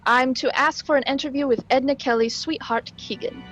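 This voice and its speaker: Woman as detective's companion, old-time radio drama, inquisitive, poised, higher pitch, muffled lo-fi sound. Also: steady tone, fairly quick, over music, natural voice. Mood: inquisitive, earnest. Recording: dull lo-fi stream audio.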